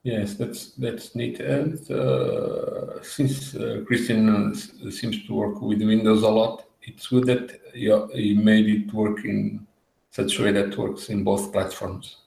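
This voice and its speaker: A man co-hosting a relaxed tech chat, halting and padded with fillers. His lowish voice is gravelly, and he talks very slowly. The sound is roomy and echoey. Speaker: co-host. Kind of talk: casual tech show chat. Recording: roomy echo.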